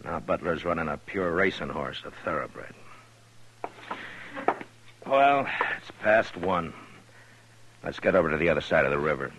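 An actor playing a stern, level-headed Old West marshal in a 1950s radio western, speaking in a firm, terse, authoritative way. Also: male voice, a bass voice, expressive, normal speed, pauses often, fairly bright tone. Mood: grave. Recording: noisy vintage radio recording.

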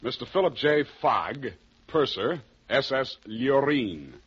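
Casting: cool hardboiled private eye, mid-range voice, breezy sarcasm, old-time radio. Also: male voice, mid-range voice, expressive, slow pace, a bit bright, breathy edge, heavily acted. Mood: dry, narrating. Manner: dry, sardonic, breezy. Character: wisecracking, cool, cocky male private detective. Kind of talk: 1940s radio detective acting and narration. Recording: muffled old radio broadcast recording with music.

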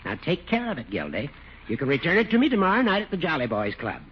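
An actor playing a fussy, prissy older judge in a 1940s radio comedy, with a wordy, formal manner and dry wit. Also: male voice, fairly high pitch, wildly swooping pitch, quick pace, husky, heavily acted. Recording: dull noisy 1940s radio recording.

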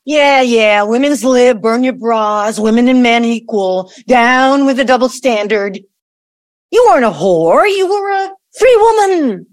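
A sarcastic, impatient grown daughter in an audio play , acted by a woman. Her high voice swings widely in pitch and sounds hostile, in a dry close recording. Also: animated, a little slow, no room sound.

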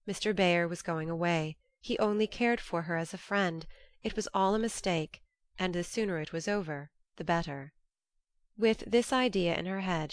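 Low-voiced woman narrating, very dry sound. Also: no room sound. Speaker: audiobook narrator. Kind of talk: scripted literary narration.

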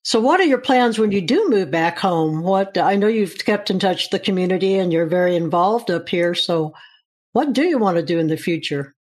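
A woman's voice: lowish voice, expressive, normal speed, a little mellow. A woman hosting a casual interview, asking long, rambling questions with friendly restarts. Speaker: interview host.

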